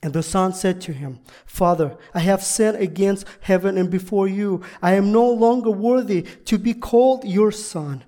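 A guest preacher whose first language is Russian, giving a sermon in halting, deliberate second-language speech. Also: medium pitch, mellow. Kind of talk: sermon with prayer and scripture reading.

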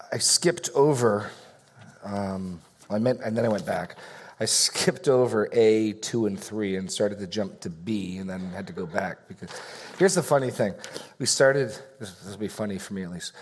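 A man leading a Bible study group, teaching casually and taking questions, rambling a little, correcting himself and joking in asides. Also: lowish voice.

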